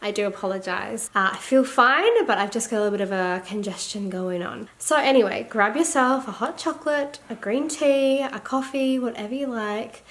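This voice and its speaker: Brief: Australian woman vlogger, slightly congested and croaky, a touch high-pitched, rambling casual chat. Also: normal speed, hardly any pauses, a bit bright. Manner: rambling, filler-heavy, laughs often. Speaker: teacher and online resource seller. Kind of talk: casual chatty vlog.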